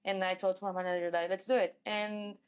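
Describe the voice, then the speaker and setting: Mexican-accented woman, medium pitch, interview guest. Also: level tone, fairly quick, clean tone. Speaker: guest, professional MMA fighter. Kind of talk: casual interview chat.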